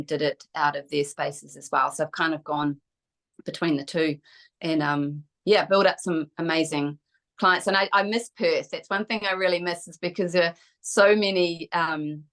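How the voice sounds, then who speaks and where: A middle-aged woman with a New Zealand accent and a distinctly low voice. She chats as an interview guest, in a reflective, open and conversational manner. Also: fairly bright tone. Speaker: guest, kinesiologist.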